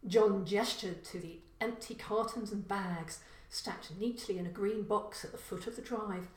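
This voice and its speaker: Female storyteller for crime audiobook: slow, deliberate narration, reverberant room sound. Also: mid-range voice, far from the mic. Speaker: author reading own story. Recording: echoey room.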